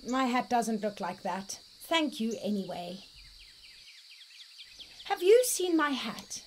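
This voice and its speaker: Woman as a high-voiced, earnest storybook bear. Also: big pitch swings, slow pace, a little hiss or hum. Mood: forlorn. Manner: plain, polite, earnest. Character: big, polite, forlorn bear missing its hat. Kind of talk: children's storybook read-aloud.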